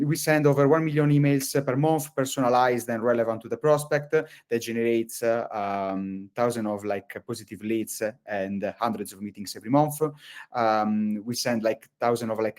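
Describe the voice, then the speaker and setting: A man's voice: medium pitch, darkish tone. Italian-accented man presenting with many fillers. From Italy. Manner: rambling, heavy use of fillers and restarts. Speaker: webinar presenter. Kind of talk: live webinar presentation. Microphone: video call.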